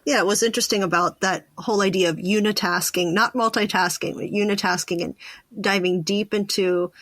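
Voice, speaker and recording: A woman hosts a conversational podcast in a mid-pitched voice. She talks informally, thinking out loud as she goes. The sound is dry, with no room around it. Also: steady tone, brisk, dry recording. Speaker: podcast host. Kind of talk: casual conversational interview.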